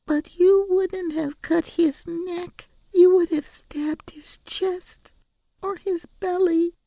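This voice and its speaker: A woman voicing an earnest, stubborn eight-year-old girl with a small, breathy voice, in an audiobook story. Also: very high-pitched, steady tone, slow, dark tone, no echo, voice pushed up, full character voice. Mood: stubborn protest. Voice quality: small, breathy, often whispering. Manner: earnest, insistent, plaintive.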